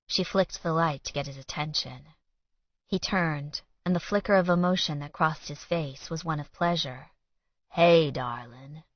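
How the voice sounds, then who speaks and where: Female audiobook narrator, lowish pitch, scripted fiction reading, dry, slightly dull recording. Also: normal speed, no room sound.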